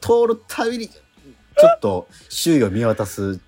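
A middle-aged man hosting a radio show, chatting in a relaxed, rambling, self-deprecating way. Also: mid-range voice, unhurried. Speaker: radio host. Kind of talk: casual radio chat.

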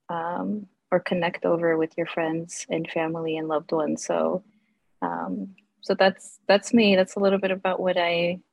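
Friendly young woman guest, lowish voice. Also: gravelly, dry recording. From United States. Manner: friendly, many ums and uhs, laughs. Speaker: guest, English instructor and food writer. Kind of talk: casual interview.

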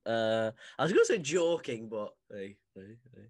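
A man hosting a casual podcast chat, joking and teasing with quick rambling asides. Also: lowish voice, very animated. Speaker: podcast host.

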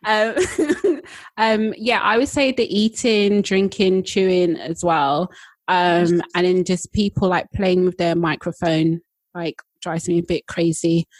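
A woman co-hosting a podcast, chatty and quick to laugh in casual conversation. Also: fairly low pitch, steady tone, no echo. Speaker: podcast co-host. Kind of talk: casual chat.

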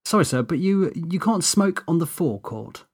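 A man's voice: fairly high pitch, animated, moderate pace, breathy edge, voice pushed up. A man voicing a smug, prim teenage petrol station manager who talks overly formally. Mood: polite.

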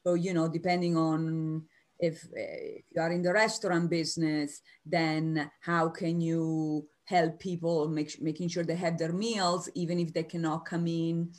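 An Italian American woman with an Italian accent, a career coach speaking as a guest over a video call. She answers spontaneously with energy, in quick bursts with restarts. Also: low-pitched, level tone. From United States.

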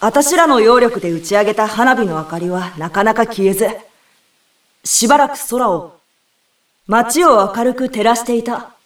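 A woman's voice: a little high, animated, normal speed, close to an everyday voice. A woman playing an earnest young girl from a spirit world in an audio drama. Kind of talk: Japanese audio drama acting and narration. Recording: roomy, distant, music behind.

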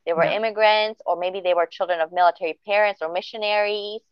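A woman's voice: mid-range voice, fairly even intonation, fast, clean tone, dry recording. A woman chatting casually and reflectively about growing up between cultures. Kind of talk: casual chat. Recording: dry, dull lo-fi audio.

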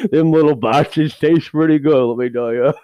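A man's voice: a little high, normal speed, warm tone, a little hiss or hum, lightly acted. A man playing a rambling, cheapskate firefighter who tells crude stories and keeps cracking himself up. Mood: rowdy. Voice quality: crude, giggly, rambling storyteller. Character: rambling, cheapskate middle-aged male firefighter. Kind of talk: improvised character comedy.

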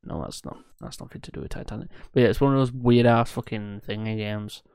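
Clear, dry-sounding young man bantering casually. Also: fairly low pitch, fairly even intonation, fairly quick, a little mellow, no room sound. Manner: sweary, blunt. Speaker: podcast co-host. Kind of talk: casual banter among friends.

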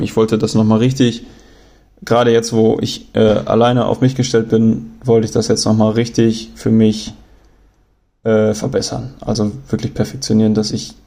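A young man from Germany talking on his own in a relaxed, rambling way, often pausing with fillers and restarting sentences. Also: lowish voice. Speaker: podcast host. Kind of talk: casual spoken monologue.

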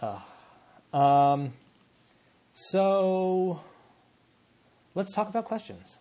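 A man's voice: sits high, very slow. A man co-hosting a casual sports podcast, his voice a little worn, laughing easily and reading out listener questions. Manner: relaxed, laughs often, reads listener questions. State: losing his voice. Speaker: podcast co-host.

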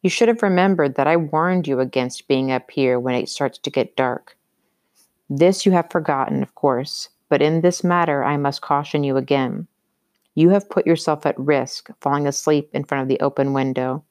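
A woman narrator plays an imperious vampire count from a gothic storybook, master of his house. The voice is low and controlled, commanding and cautioning, in a dry, close recording. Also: moderate pace, darkish tone, no echo, close to an everyday voice. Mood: gentle, cautioning.

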